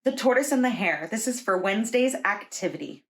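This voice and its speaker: A woman preschool teacher reading a children's storybook aloud in a deliberate, expressive storytelling way. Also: fairly high pitch.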